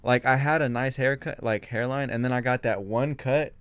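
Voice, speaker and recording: A young man co-hosting a casual group podcast, telling things in a slangy, profane, animated way. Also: mid-range voice, moderate pace, smooth, no room sound. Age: young adult. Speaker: podcast co-host.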